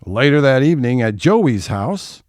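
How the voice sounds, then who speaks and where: A man's voice: medium pitch, very animated, measured pace, a little mellow, dry recording, studio quality. A man giving scripted scene-setting narration for an audio theatre comedy.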